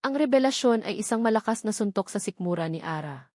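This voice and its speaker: A woman reads scripted story narration in a dramatic audiobook style. Her voice sits at a medium pitch. The recording is dry, with very little room sound. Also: animated, brisk, a little mellow, no echo.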